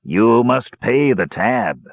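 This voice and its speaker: A man performs a cunning, jovial old-tavern sort of dwarf for an audiobook. His voice is husky and lively in pitch, mock-solemn and cajoling. The recording is dry and a little muffled. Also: moderate pace, no echo. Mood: sly. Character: sly, jovial pot-bellied bearded male dwarf adventurer. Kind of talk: audiobook character voice.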